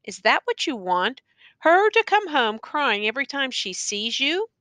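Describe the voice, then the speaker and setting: A woman's voice: high-pitched, big pitch swings, measured pace, bright, forceful tone, no room sound, pitched above the performer's own voice, heavily acted. A woman voicing an earnest, exasperated male schoolteacher who pleads insistently in educated diction in an audiobook story. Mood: pleading.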